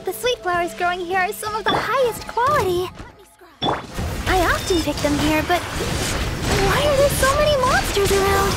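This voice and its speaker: A gentle young church deaconess for an anime game. The voice is very high, with a polite, earnest, selfless manner. Music plays clearly behind it. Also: female voice, animated, slow pace, pauses often, heavily acted. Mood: surprised, alert. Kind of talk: anime-style video game voice acting.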